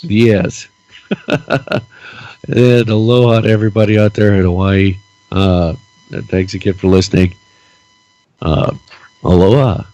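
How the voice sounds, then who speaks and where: A man's voice: fairly low pitch, little pitch movement, unhurried, long pauses, dark tone, no echo, poor audio. A man co-hosting a casual political podcast, ranting with lots of repeated words while losing his voice and stuffy-nosed. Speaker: podcast co-host.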